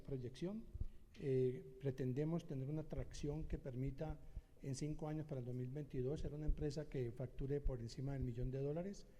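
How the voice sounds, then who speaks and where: A middle-aged Colombian man introducing himself as a social entrepreneur, halting with many filler sounds. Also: medium pitch, dark tone, long reverb tail. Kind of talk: short personal introduction on a panel.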